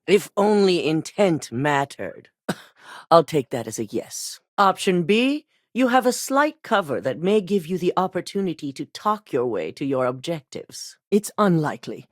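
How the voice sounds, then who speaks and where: A cold, calculating corporate executive woman from a video game. Her voice sits low, but she moves it around a lot as she hands down orders in a measured, unhurried way. The recording is dry and close. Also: big pitch swings, dry recording. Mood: cool, authoritative. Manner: composed, measured and coolly authoritative. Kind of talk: game voice acting.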